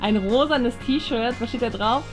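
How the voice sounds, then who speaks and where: A young woman chats playfully through a home challenge video. Her voice sits a little high. Music plays steadily in the background. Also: measured pace. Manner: chatty, playful commentary. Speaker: co-host. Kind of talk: casual challenge video chat. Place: bright indoor room with shelves.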